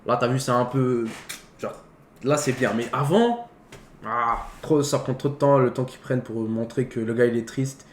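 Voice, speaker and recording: A man's voice: mid-range voice, moderate pace. Man, casual co-host, slangy words in, mid-range voice, echoey room, faint noise. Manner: slangy, peppers talk with English words. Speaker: co-host of a film talk show. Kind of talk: casual chat about films. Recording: roomy echo, faint background noise.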